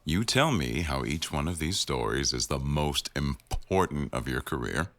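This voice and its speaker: A man acts out an attentive, dry-witted executive boss for an intimate audio roleplay. His voice sits extremely low with a gravelly fry. He speaks in a measured, gently teasing way, recorded close and dry with no room sound. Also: lively intonation, no echo. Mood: dryly teasing. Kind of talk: intimate boyfriend ASMR roleplay.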